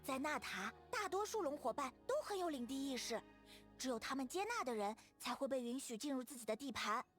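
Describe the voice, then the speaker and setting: Lively high-pitched cheerful teenage girl. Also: fairly quick, soft background music. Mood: cheerful, affectionate. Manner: giggly, expressive, friendly. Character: cheerful, warm-hearted, outgoing teenage girl. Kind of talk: game character voice demo.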